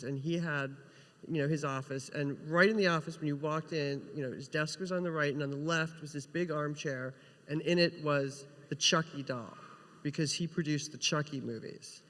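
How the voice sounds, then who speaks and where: Higher-voiced man telling anecdotes in an echoing hall. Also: a bit bright. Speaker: TV writer, panel guest. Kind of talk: casual anecdotal panel talk. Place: large indoor convention panel room. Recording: echoey hall with long reverb.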